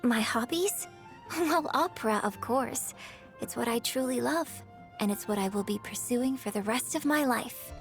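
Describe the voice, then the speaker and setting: An actress playing a refined, gracious young opera star and troupe director in a video game, speaking in a formal, theatrical manner. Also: female voice, fairly high pitch, moderate pace, soft background music, natural voice. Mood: wistful. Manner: genteel, theatrical, formal wording. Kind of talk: video game character voice lines.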